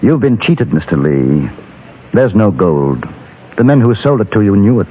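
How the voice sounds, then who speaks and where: A man playing a composed, literate frontier gentleman, narrating and acting in a Western radio drama. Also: lowish voice, big pitch swings, normal speed, slightly rough. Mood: concerned, curious. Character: gentlemanly, observant male frontier traveler narrating his journeys. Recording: noisy, muffled old radio recording.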